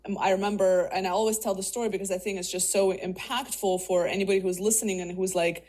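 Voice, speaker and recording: A chatty woman in her thirties, from Russia with Russian as her first language, telling her career story as a guest. Her voice sits at an ordinary middle pitch, and she breaks off and restarts her thoughts often. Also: fairly even intonation, fast talker, darkish tone. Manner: chatty, many fillers and restarts. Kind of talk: casual interview.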